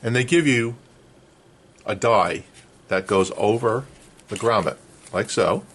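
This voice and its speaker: A man's voice: on the low side, slow, fairly bright tone, husky. A man talking casually as he hosts a hands-on how-to, explaining things step by step. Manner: explains step by step, checking with "okay". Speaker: host of a vintage radio restoration how-to. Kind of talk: casual hands-on tutorial talk.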